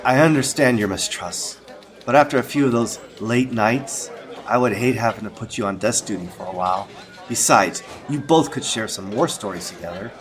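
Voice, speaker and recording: An actor playing a stoic, demanding police captain in a 1920s radio drama, speaking with firm command. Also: male voice, mid-range voice, normal speed, close to an everyday voice. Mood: stern, measured.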